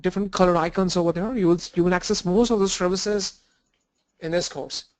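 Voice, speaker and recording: A man with a cold and a blocked nose, teaching an online training lesson with halting fillers and restarts. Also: high-pitched, fairly even intonation, darkish tone. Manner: halting, restarts and fillers, walks through screens. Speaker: instructor.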